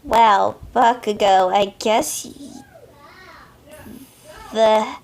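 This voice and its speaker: A woman reading a story aloud as an amateur, haltingly, often stumbling over words and correcting herself. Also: on the high side. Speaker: amateur fan fiction reader.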